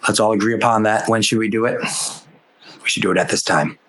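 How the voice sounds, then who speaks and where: A man's voice: lowish voice, fast talker, a bit bright. A man hosting an opinion podcast, ranting casually in an exasperated, sarcastic way. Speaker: podcast host.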